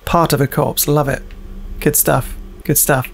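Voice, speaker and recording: Higher-voiced man with creaky edge. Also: fairly even intonation, measured pace. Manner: hesitant, repeats himself. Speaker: let's play host and player. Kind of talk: casual gameplay commentary, reading game notes aloud.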